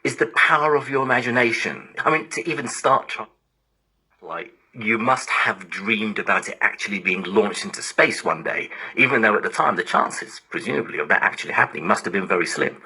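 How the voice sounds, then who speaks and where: Low, piercingly bright male interviewer. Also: animated, brisk, poor audio. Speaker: radio interviewer. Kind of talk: broadcast interview. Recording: shortwave digital radio reception, raspy and harsh.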